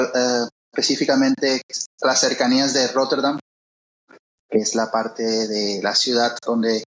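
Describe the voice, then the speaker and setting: A man in his forties answers questions slowly, filling pauses with hesitant sounds. His voice sits in the middle range for a man. He is heard over a remote call that sounds dull and lo-fi. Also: mid-range voice. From Venezuela. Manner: halting, many fillers and pauses. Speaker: guest author. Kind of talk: interview conversation.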